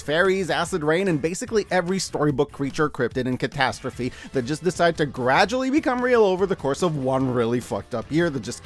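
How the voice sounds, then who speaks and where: A man hosts a scripted media review in a casual, wry style. His voice sits a little high and moves up and down a lot, very expressively. Light music plays behind him. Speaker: host of a media review video. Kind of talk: scripted video essay narration. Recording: background music under the voice. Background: background music.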